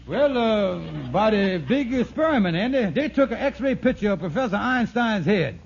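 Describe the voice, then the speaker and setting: An actor playing a fast-talking, scheming, slippery con artist in an old radio sitcom, in folksy ungrammatical speech full of stalling. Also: male voice, high-pitched, lively intonation, vocal fry. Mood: smooth bluffing. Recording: noisy, muffled vintage radio recording.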